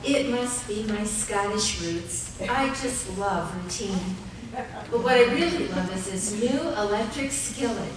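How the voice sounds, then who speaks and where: A woman playing a doting, brisk 1950s housewife and mother in a live old-time radio play. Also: medium pitch, animated, close to an everyday voice. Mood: pleased. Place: theater stage before live audience. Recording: echoey noisy live stage, distant mic.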